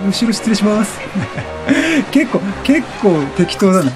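A man's voice: sits high, sing-song, slow pace. A man doing chatty, reactive let's-play commentary over a video game, repeating short exclamations. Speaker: gaming streamer. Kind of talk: excitable let's-play commentary, reading game text aloud. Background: video game music.

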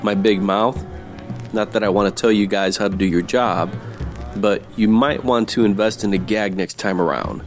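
A man plays a hardboiled, sardonic private eye narrating a noir radio play. His deadpan voice sits on the low side, with music underneath. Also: moderate pace, lightly acted. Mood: wisecracking. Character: wisecracking hardboiled male 1940s private detective, world-weary.

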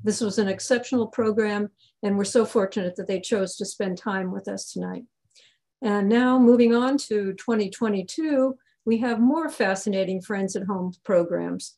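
A woman's voice: mid-range voice, normal speed, darkish tone. A woman in her sixties giving formal closing remarks and announcements as an organizer on a video call. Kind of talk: formal announcements, partly read. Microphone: video call computer microphone.

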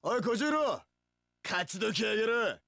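An actor playing a cocky, cool young samurai warlord in a video game, with rough tough-guy speech and English catchphrases. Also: sits high, lively intonation, moderate pace, bright, forceful tone, dry recording, studio quality, heavily acted. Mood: fired-up. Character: cocky, cool young male samurai warlord, swaggering one-eyed dragon. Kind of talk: video game battle voice lines.

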